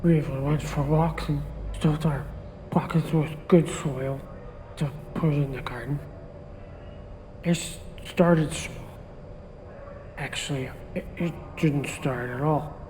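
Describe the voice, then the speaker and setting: A man voices a gentle homeless gardener under questioning, slow and unsure, stumbling over his words. His tone is mellow and low-lit, with a long reverb tail and faint hiss behind him in this radio play. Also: steady tone. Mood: wistful. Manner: halting, soft-spoken, hesitant. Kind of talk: audio drama acting.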